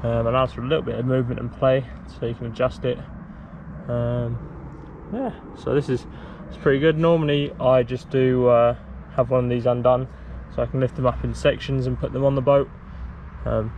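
A young man casually explaining a DIY build outdoors, talking to a handheld camera in a relaxed, rambling way. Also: mid-range voice, dull audio, a little hiss or hum. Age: young adult. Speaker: sailing vlogger. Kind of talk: casual how-to vlog. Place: outdoors in a garden, later in a shed. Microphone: handheld camera at arm's length.

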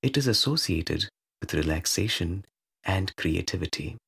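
A man with a low, creaky and husky voice leads a guided meditation. He is calm and measured, giving soothing instructions in short phrases with long pauses between them.

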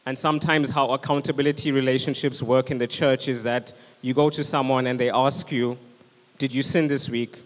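A young man delivers an earnest, urgent sermon into a handheld microphone. His mid-pitched voice rings out with a long hall reverb on the church stage. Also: steady tone, a little fast. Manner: earnest, urgent preaching, standing with a handheld mic. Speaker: preacher. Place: church stage with band instruments, indoors.

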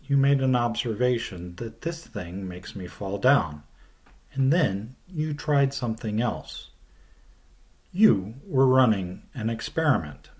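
A middle-aged man gives a science lesson from his desk, speaking at a slow, unhurried pace. His voice sits in an ordinary middle register. He lays out each idea carefully and lets his phrases fall away at the end, recorded on a webcam in a small plain room. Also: medium pitch, darkish tone. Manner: slow, deliberate explaining with falling phrase ends. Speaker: teacher. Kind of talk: recorded video lecture.

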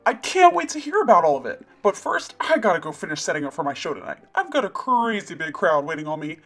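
A woman's voice: on the low side, big pitch swings, fast talker, pitched below the performer's own voice. A woman voicing a laid-back young male DJ who talks in hyped, slangy bro speak for a doll stop-motion video. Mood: casual.

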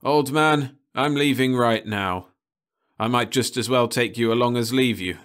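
A man's voice: mid-range voice, unhurried, fairly bright tone, clear, resonant tone, pitched above the performer's own voice, close to an everyday voice. A man reading aloud, voicing a blunt, weary male rocket captain who speaks curtly and to the point. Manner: curt and blunt.

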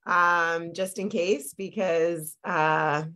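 Low-voiced congested woman on a webcam. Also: fairly even intonation, very slow, pushed and bright. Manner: chatty, many fillers and tag questions. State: congested, lingering cough. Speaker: business coach. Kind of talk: live-streamed coaching talk. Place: home office. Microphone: video call webcam.

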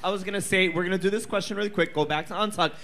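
A man's voice: high voice, racing, fairly bright tone. A man hosting a bar Q&A, brash and laughing as he makes announcements to the crowd. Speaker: event host.

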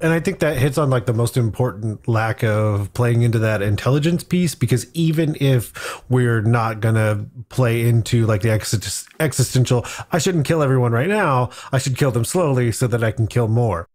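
Medium-pitched man in casual panel chat. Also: animated, moderate pace, a little mellow. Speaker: podcast panelist. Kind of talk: casual panel discussion about tabletop games.

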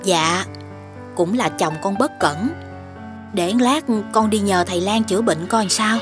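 A woman voicing a polite, caring village wife and mother in an animated fairy tale. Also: medium pitch, unhurried, lightly acted. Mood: polite, worried. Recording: music under the voice.

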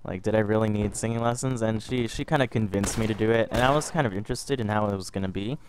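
A man's voice: fairly low pitch, quick pace, noisy background. A young man from Canada, a little sick, telling a story casually over gameplay, rambling and restarting often. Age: young adult. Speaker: gaming vlogger.

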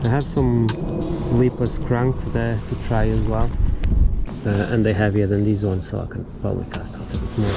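A man's voice: fairly low pitch, normal speed, vocal fry. Easygoing man narrating from a windy boat. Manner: relaxed, chatty, with fillers and loose phrasing. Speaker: fishing vlogger. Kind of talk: casual outdoor commentary to camera. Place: on a boat on open water, outdoors, in wind. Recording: outdoor wind and water noise. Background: wind and water noise.

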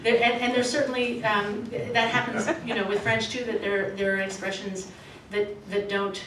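Woman reading aloud in an echoey room. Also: fairly high pitch. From grew up in Australia, Canada and the States. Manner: measured, expressive reading; halting and self-correcting when answering. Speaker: novelist reading and taking questions. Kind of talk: literary reading, then casual Q&A. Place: small event room with a red curtain, indoors. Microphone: podium microphone, recorded from a far camera. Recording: echoey room, voice from a distance, faint hiss.

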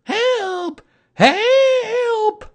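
A performer voicing a small, timid piglet in a storybook, with a squeaky, strained voice and a frantic, stammering manner. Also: very slow, exaggerated voice. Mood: terrified.

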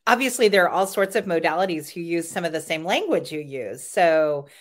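A woman's voice: lowish voice, lively intonation, fairly quick. A woman hosting a podcast who asks curious, thoughtful questions with plenty of "um"s. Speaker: podcast host. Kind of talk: interview.

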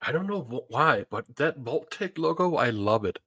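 A man's voice: mid-range voice, animated, normal speed, pushed and bright, slightly rough, no echo, studio quality. A man chatting casually as a guest on a live stream, tossing in quips. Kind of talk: casual chat.

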